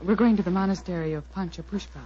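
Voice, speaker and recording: An actress playing a kindly young American medical missionary in a 1940s radio drama, poised and reassuring, with an American accent. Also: female voice, lowish voice, quick pace, warm tone, slight background noise, natural voice. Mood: gentle, reassuring. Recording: muffled 1940s radio recording.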